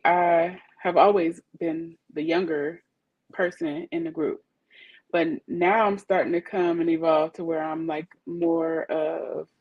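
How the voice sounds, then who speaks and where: A woman's voice: on the low side, no echo. A woman co-hosting an interview, speaking hesitantly with many fillers. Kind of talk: conversational interview. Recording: dull, dry recording.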